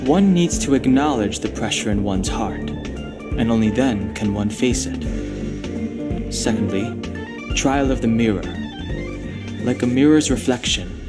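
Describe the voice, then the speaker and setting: A reserved young space station guard from a video game. His mid-pitched voice is measured, aloof and matter-of-fact, with loud music playing behind it. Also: expressive. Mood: calm, aloof. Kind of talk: scripted video game dialogue.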